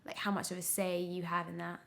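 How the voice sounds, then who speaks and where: A woman's voice: lowish voice, little pitch movement, a little fast, gravelly, fairly bright tone, slight background noise. A young woman speaking candidly and casually as an interview guest, thinking aloud in a hesitant, reflective way. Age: young adult. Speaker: podcast guest, actress.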